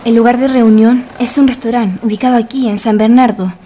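An actress playing a secretive officer's wife in a historical radio drama, earnest, measured and solemn. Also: female voice, fairly high pitch, fairly even intonation, background noise, lightly acted. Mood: earnest, confiding.

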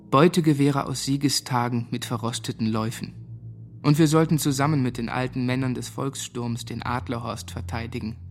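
Actor as haunted young man, creaky voice. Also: mid-range voice, close to an everyday voice. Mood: sombre, bitter. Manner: sombre, literary recollection. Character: haunted young man, former Nazi boarding-school pupil. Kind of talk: German radio drama monologue.

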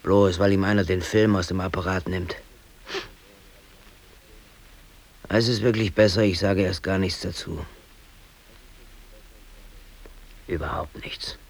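A man's voice: low voice, steady tone, fairly quick, poor audio. An actor playing a brooding, aggrieved bakery worker in his thirties, telling his story colloquially and intensely in a radio drama. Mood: resigned, subdued. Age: 30s. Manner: colloquial, emotionally intense, self-justifying. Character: brooding, aggrieved East German bakery worker under arrest. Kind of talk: radio drama monologue, confessional narration.

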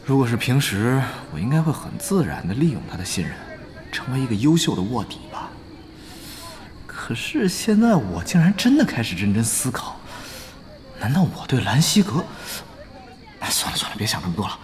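A man's voice: mid-range voice, very animated, brisk, natural voice. A man acting in an audio drama as a reluctant young guild leader, spluttering and exasperated. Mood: bewildered, brooding. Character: flustered, reluctant young male guild leader, indignant and self-doubting. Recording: studio drama with music behind.

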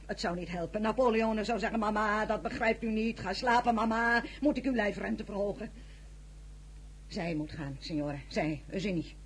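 A woman's voice: mid-range voice, moderate pace, a little hiss or hum, natural voice. A woman playing an anguished, proud older mother in a radio drama, speaking formally, emphatically and imploringly. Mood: pleading. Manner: formal, emphatic, pleading, with Italian words mixed in. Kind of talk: Dutch radio drama acting.

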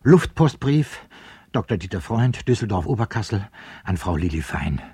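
An actor playing an announcer who calls out letter addresses in short, clipped phrases with a husky voice in a 1970s German radio play. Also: male voice, lowish voice, big pitch swings, moderate pace, warm tone, no echo, studio quality, heavily acted. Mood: brisk, declarative.

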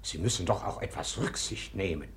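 An actor in a 1950s German radio play, playing a pompous, flustered society gentleman with a halting, fussy delivery. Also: low-pitched, a little hiss or hum, close to an everyday voice. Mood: flustered, reproachful.

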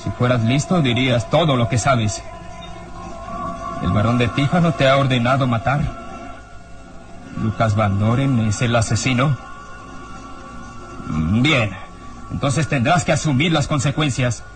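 An actor playing a hard-nosed, uneasy police inspector in a radio serial, with a theatrical, menacing way of questioning. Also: male voice, mid-range voice, measured pace, pauses often, close to an everyday voice. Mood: mocking, threatening. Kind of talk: old radio serial acting. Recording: radio drama with music underneath.

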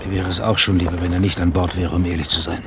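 A man's voice: low-pitched, level tone, fast, background noise. An actor playing a dutiful, uneasy ship's lieutenant in a German radio drama, respectful and frank toward his superior.

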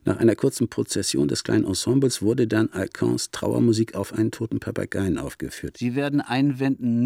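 An actor playing a learned, pedantic music lover, lecturing formally in a radio play. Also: male voice, mid-range voice, creaky, dry recording, clean studio sound. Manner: formal, pedantic, elaborately phrased. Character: learned, pedantic male music lover arguing his proof. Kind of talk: radio play monologue, formal erudite lecture in letter form. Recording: loud music under the voice.

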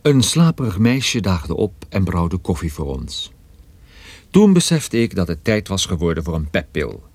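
A hard-boiled detective tells his story in the first person. The voice is deep and husky, with an animated rise and fall suited to radio drama. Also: unhurried, dry recording, full character voice. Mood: matter-of-fact. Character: hard-boiled male detective recounting his own case.

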